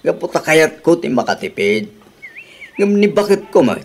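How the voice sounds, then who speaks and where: Husky, grumbling, thrifty Ilocano husband. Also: male voice, a little high, sing-song, moderate pace. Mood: respectful, complaining. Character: thrifty, exasperated husband, grumbling about costs. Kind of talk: Ilocano radio drama acting.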